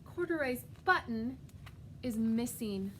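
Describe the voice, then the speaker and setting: A woman reading a storybook to young children in an expressive, animated way, asking them simple questions as she goes. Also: fairly high pitch, takes it slowly, slight background noise. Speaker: preschool teacher. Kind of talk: expressive children's read-aloud with questions to listeners.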